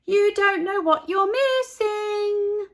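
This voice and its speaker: Lady doing a happy confident little kid girl for storytime, high n sing-songy, playful. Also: slow pace. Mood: proud, inviting. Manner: bright, sing-song, playful. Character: cheerful confident little girl who loves being different. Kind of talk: storybook character voice.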